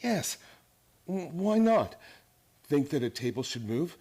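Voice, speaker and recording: A man's voice: fairly high pitch, big pitch swings, slow pace, darkish tone, slightly rough, heavily acted. Actor as an intense young male teacher, lecturing. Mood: fervent. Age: young adult. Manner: earnest, lecturing, formal. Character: intense, idealistic young male psychology teacher obsessed with mind power. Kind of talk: radio drama acting.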